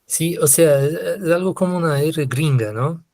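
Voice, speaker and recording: A man from Brazil with a Brazilian Portuguese accent, giving an online lecture in a slow, hesitant way, full of fillers and tag questions. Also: on the high side, darkish tone. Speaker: literature lecturer. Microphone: computer mic on a video call.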